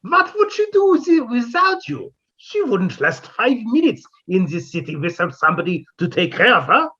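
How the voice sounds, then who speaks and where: Woman doing an eccentric old professor, pompous, husky voice, swings up and down, muffled lo-fi sound. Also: mid-range voice, sing-song, normal speed, pushed and bright, full character voice. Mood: grand, fussy. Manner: florid, pompous, theatrical delivery. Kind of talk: 1950s radio comedy acting.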